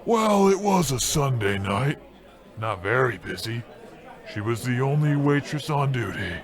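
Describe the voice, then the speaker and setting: Man playing slow, swooping deadpan bartender. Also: medium pitch, natural voice. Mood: dry, reluctant. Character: weary, reluctant male bartender, dryly unimpressed. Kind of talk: comedic old-time radio noir drama.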